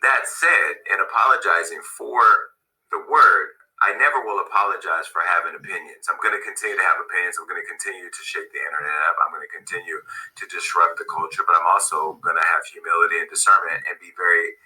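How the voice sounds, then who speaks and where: A man giving a direct-to-camera statement from an office, speaking in a deliberate, measured way and repeating phrases for emphasis. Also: low-pitched, pushed and bright, poor audio. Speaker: media founder giving a video statement.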